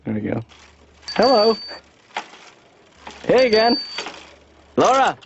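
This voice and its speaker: A man's voice: sits high, huge pitch swings, takes it slowly, full character voice. A man playing a goofy, aimless grown-up son in a cartoon, rambling casually. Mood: playful, show-off. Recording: dull, noisy lo-fi cartoon soundtrack.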